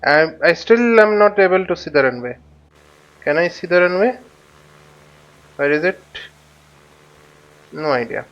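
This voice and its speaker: Slow, halting man with a dull mic. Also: sits high, animated, fairly bright tone. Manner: halting, thinking aloud, often muttering to himself. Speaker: flight simulator instructor. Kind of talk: unscripted tutorial commentary while flying a simulator.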